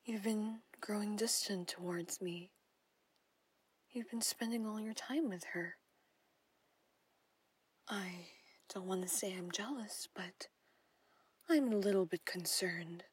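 A woman's voice: mid-range voice, slow pace, pauses often, no room sound. A woman in a whispered audio roleplay, playing a jealous, possessive stepmother who coaxes and controls. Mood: coaxing, concerned. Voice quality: breathy whisper. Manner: intimate whispering, coaxing and controlling.

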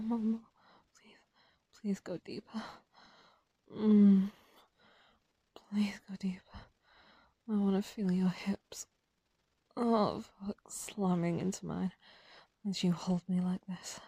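Breathy whispering woman, breathless and intimate. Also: medium pitch, steady tone, takes it slowly, pauses often, mellow, no echo. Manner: breathy whispers broken by moans. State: breathless, sexually aroused. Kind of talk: erotic audio roleplay, intimate whispering and moaning.

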